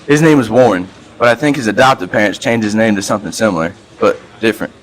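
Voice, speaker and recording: A man's voice: fairly low pitch, fast talker, lightly acted, rough recording. Man acting a slangy reverend with a hoodlum past. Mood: confiding. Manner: street slang mixed with folksy storytelling. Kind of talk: amateur radio play acting.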